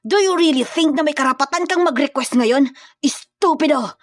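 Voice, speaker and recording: Arrogant corrupt mayor, voiced by a woman, menacing, emphatic, threatening, raised pitch, storybook villain, close dry sound. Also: moderate pace, dry recording, lightly acted. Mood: enraged.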